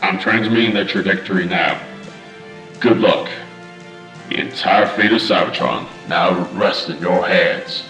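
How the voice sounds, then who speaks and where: A man's voice: low voice, a little slow, sharp tone, full character voice. A man voicing a grave robot military commander, formal and solemn, in an amateur comic dub. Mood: grave, urgent. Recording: roomy, with music bed.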